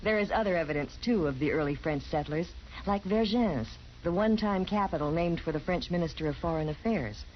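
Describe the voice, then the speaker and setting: Casting: female radio announcer, lowish animated voice, scripted narration, dull vintage recording. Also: fairly quick, hardly any pauses, slight background noise.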